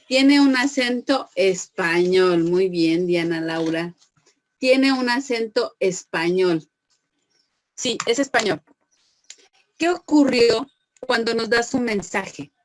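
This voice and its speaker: A woman's voice: fairly high pitch, expressive, slow, long pauses. A woman teacher, lecturing an online class, patiently questioning students and praising them by name.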